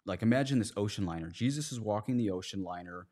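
A man's voice: on the low side, fast, dark tone. A man, a priest, speaking as a podcast guest, earnestly explaining religious ideas in conversational, plain analogies.

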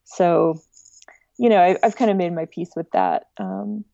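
A woman talks as a guest in a casual interview. Her voice is mellow and relaxed, mid-pitched, with an informal, wry way of explaining things. The sound is close and dry but a little dull and lo-fi. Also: a little slow, vocal fry, dry recording. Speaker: interview guest, director of an online music archive.

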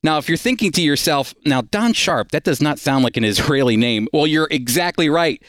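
Smooth-voiced male radio host, dry studio sound. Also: a little high, lively intonation, normal speed, a bit bright, no room sound. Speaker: radio show host and Bible teacher. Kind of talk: scripted radio introduction and interviewing.

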